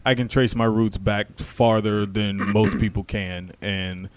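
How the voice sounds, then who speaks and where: A man's voice: fairly low pitch, little pitch movement, measured pace. A man co-hosting a comedy podcast in a laid-back, blunt, casual way, swearing freely and laughing often. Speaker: podcast co-host.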